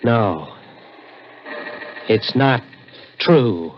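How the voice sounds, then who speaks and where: A man's voice: medium pitch, expressive, drawn-out words, a little hiss or hum. An American actor playing a scheming young male swindler who narrates his own misadventure in a 1960s radio suspense drama. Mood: bewildered, dread. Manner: dramatic first-person storytelling. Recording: muffled old radio recording with music.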